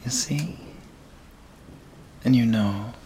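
A slightly hoarse man with an Irish accent, whispering softly with gentle chuckles in an ASMR boyfriend roleplay. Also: mid-range voice, animated, very slow, slight background noise, rough recording.

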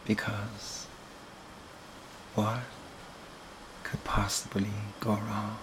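A slightly hoarse man with an Irish accent, whispering softly with gentle chuckles in an ASMR boyfriend roleplay. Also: on the low side, monotone, very slow, noisy background.

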